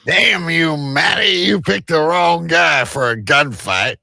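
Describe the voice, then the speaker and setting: A man's voice: fairly high pitch, expressive, slow pace, gravelly, pushed and bright, no echo, studio quality, voice pushed up, full character voice. A man playing an angry, melodramatic gunfighter in an amateur live audio drama.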